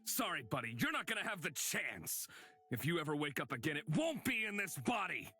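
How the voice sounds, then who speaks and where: A man playing a blunt, hard, taunting fighter in a visual novel, with a curt and forceful manner. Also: a little high, very animated, fairly quick, slightly rough, heavily acted. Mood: urgent taunting. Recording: music behind the voice.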